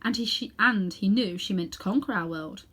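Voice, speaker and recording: A woman narrating a children's storybook in an expressive reading style. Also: medium pitch, moderate pace, slightly rough. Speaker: storybook reader.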